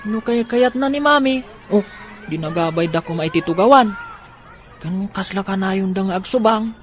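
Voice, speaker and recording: A woman's voice: medium pitch, normal speed, crowd noise, natural voice. A woman playing a worried but resolute, scheming young girl in a radio drama. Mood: observant. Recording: faint background noise and ambience.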